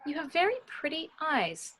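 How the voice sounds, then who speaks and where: Woman playing a bright, high-voiced flirty morgue doctor. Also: very animated, moderate pace. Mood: flirty, confiding. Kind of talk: informal script table read.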